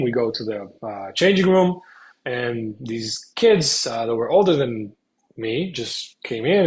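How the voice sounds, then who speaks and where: A young man from Spain, a guest in an interview, explaining things earnestly in a casual way and often pausing on "uh". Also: medium pitch, poor audio. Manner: earnest, explaining, frequent hesitations. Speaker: guest, content creator.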